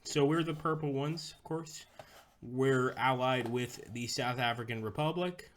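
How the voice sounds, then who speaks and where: A man's voice: mid-range voice, measured pace, slight background noise. Rambling man streaming a strategy game. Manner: rambling, chats with viewers, talks through moves. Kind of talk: casual live-stream game commentary.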